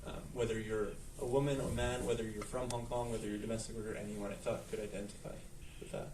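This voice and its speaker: A man's voice: fairly low pitch, little pitch movement, fast talker, noisy background. Film director guy at a q&a, earnest and thoughtful, sounds a bit far off in an echoey room. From United States. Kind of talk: festival Q&A answers. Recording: echoey room, mic at a distance.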